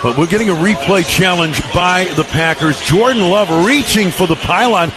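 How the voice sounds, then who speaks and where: A man's voice: high-pitched, moderate pace, over music. A man calling live football play-by-play for radio in punchy, clipped phrases over a noisy stadium broadcast feed. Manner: punchy clipped phrases, building excitement on plays. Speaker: play-by-play announcer.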